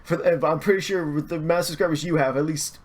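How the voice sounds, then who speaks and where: A man chatting casually as a podcast guest, explaining things haltingly and rephrasing as he goes. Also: fairly high pitch, fairly even intonation, crowd noise.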